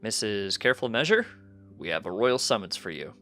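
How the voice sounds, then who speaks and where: A man's voice: on the low side, big pitch swings, a little fast, pushed and bright. A man plays a royal guard pony in a fantasy story. He sounds formal and official, with music behind him.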